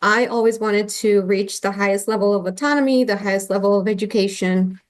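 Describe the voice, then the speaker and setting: Female interview guest, originally from Colombia, mid-pitched, earnest and chatty, lots of fillers. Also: steady tone, brisk. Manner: warm and earnest, with many fillers and restarts. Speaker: guest, nurse anesthetist. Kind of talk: conversational interview.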